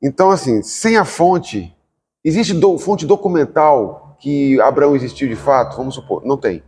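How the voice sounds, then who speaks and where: A man hosts a talk podcast, steering guests with questions meant for listeners. His pitch is mid-range and even. A long room reverb hangs on his voice. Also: moderate pace, husky. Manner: probing, asks questions for the audience. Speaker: podcast host. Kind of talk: podcast conversation.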